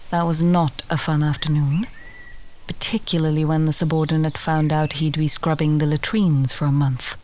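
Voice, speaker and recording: A woman's voice: deep voice, little pitch movement, moderate pace, darkish tone, a little hiss or hum, close to an everyday voice. A woman playing a chatty, drily humorous alchemist and herb gatherer in a fantasy audio roleplay, talking straight to the listener. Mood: wry, amused. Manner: conversational, instructive, drily humorous.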